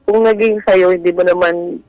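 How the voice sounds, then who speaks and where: Filipino woman haltingly sharing, music behind her. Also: lowish voice, fairly even intonation, mellow, no echo, rough recording. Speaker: guest sharing a personal story. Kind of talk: hesitant casual storytelling. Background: background music.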